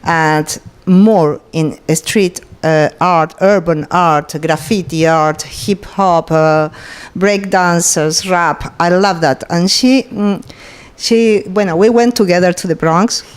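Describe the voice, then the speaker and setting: Lower-voiced woman, halting English. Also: fairly even intonation, clean tone. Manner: halting, searching for English words. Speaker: interviewer. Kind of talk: interview questions.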